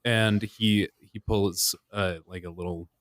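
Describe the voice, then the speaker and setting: A man's voice: lowish voice, fairly even intonation, slow, no room sound, clean studio sound. A man playing a tabletop role-playing game, describing his character in a rambling, casual way. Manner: rambling, with fillers. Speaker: player.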